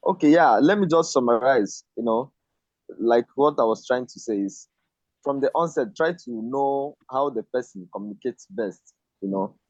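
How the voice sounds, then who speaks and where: A man joins a casual video-call discussion and talks in a hesitant, searching way. His voice sits at a middle pitch. The audio is dry and muffled, like laptop call sound. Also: lively intonation, normal speed, dry recording. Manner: hesitant, searching for words, fillers. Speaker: panel guest.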